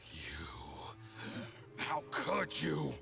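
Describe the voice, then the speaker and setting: An actor playing a smug, boastful, condescending official in a video game. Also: male voice, a little high, wildly swooping pitch, drawn-out words, gravelly, long reverb tail, music in the background, heavily acted. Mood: shocked, furious. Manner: condescending, drawling. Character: smug, boastful Fatui official, drinking and gloating.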